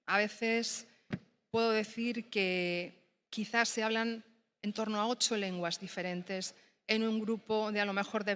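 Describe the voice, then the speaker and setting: Halting Spanish-speaking woman panelist. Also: medium pitch, a bit bright. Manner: thoughtful, halting, with many fillers and restarts. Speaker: panel speaker, secondary school design teacher. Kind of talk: panel talk at a summit.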